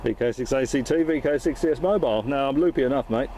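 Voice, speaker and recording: A man's voice: mid-range voice, quick pace, warm tone, a little hiss or hum. A man talking casually and jokily as an amateur radio operator and video host, recorded inside a car. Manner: jokey, matey, informal. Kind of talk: casual radio chat and vlog narration.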